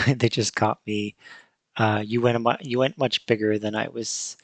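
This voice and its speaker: A man's voice: on the low side, little pitch movement, moderate pace, no echo. Thoughtful, hesitant man hosting a podcast. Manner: thoughtful, hesitant, with many fillers and restarts. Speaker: podcast host. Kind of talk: casual podcast chat.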